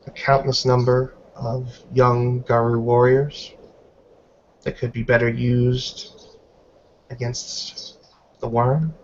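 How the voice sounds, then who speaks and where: A man voicing a stern female werewolf elder who passes judgement in grave, deliberate, formal words in a tabletop game. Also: medium pitch, darkish tone, slight background noise, close to an everyday voice. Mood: stern, accusing. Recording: muffled lo-fi online call.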